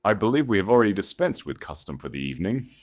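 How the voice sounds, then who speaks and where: A man's voice: on the low side, big pitch swings, fast, pitched above the performer's own voice. A man voicing a proud, composed young princess in an audiobook, formal and precise. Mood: cool defiance. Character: coolly defiant young princess of twenty-one, proud, composed. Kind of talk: audiobook character dialogue.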